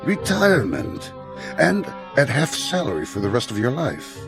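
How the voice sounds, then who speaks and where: An actor playing a formal, tactful, evasive school headmaster in a radio drama, stiffly courteous and measured in his wording. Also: mid-range voice, wildly swooping pitch, creaky, music in the background. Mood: apologetic, smooth.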